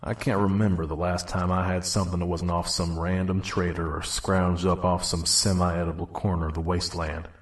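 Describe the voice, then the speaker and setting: A man acts out a weary wasteland trader pony in an audio drama. The voice is very low and rough with husk, and he talks in a gruff, guarded, dry way, keeping things terse. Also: little pitch movement, fairly quick, hardly any pauses. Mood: weary, grumbling. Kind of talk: audio drama narration and dialogue.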